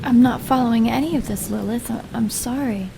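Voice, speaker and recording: An actress playing a meek, bewildered underling in an audio drama, speaking hesitantly. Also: female voice, a little high, fairly quick, gravelly, noisy background. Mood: confused, nervous. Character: timid, bewildered female underling.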